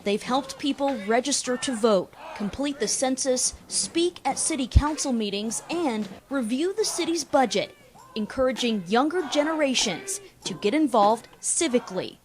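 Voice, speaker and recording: A woman's voice: high-pitched, animated, normal speed, slight background noise. A woman working as a TV news reporter, reading scripted narration for a report. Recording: music behind the voice. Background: music bed.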